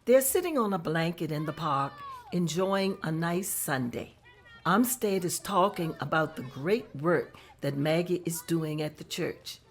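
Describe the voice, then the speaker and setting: A woman narrating the scene directions at a table read in a plain, steady manner. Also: sits low, unhurried. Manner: plain, steady descriptive reading. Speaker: narrator reading stage directions.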